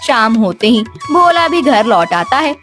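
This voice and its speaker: Female storyteller for kids' tales, high register, faint music bed underneath. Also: big pitch swings, a little slow, a bit bright. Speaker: narrator. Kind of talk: scripted children's story narration.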